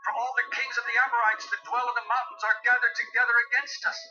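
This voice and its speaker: A man's voice: very high-pitched, level tone, quick pace. A man playing a fearful, pleading envoy of an ancient people in a dramatized scripture reading, earnest and declamatory. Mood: urgent pleading. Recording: muffled audio with music underneath.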